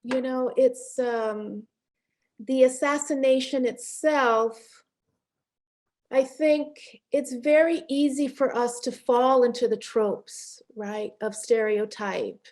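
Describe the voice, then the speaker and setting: Thoughtful woman director, fairly high voice. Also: fairly even intonation, takes it slowly, darkish tone. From Panamanian and Haitian family background. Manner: thoughtful, many fillers and restarts, occasional laughs. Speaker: film director being interviewed. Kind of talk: reflective interview answers.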